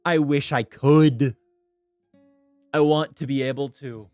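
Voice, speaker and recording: A man streaming, chatting casually and candidly with a clear, smooth voice, rambling and swearing freely. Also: mid-range voice, steady tone, slow, a little mellow, no room sound, faint music behind. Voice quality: clear voice without gravel. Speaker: VTuber streamer. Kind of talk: casual livestream chat.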